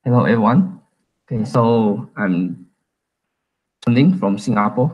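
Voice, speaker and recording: A young man from Malaysia giving a casual, halting talk at a tech meetup, with many fillers and restarts. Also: fairly low pitch, lively intonation, breathy edge, lo-fi sound. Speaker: conference speaker.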